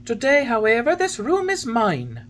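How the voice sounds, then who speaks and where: A woman's voice: a little high, wildly swooping pitch, unhurried, a little hiss or hum. A woman voicing an impudent wooden boy marionette with cheeky, defiant retorts.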